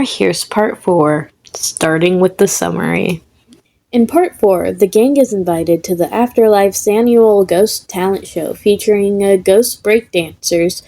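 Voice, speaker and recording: Unhurried woman reading a story aloud. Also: medium pitch, dark tone. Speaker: podfic reader. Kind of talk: scripted narration of comic fan fiction.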